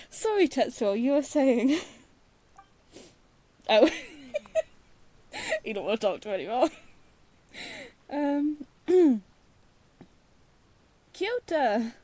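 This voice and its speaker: A woman's voice: fairly high pitch, mellow. A woman streaming a video game with casual, rambling commentary, giggly and often interrupting herself. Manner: giggly, self-interrupting, meandering.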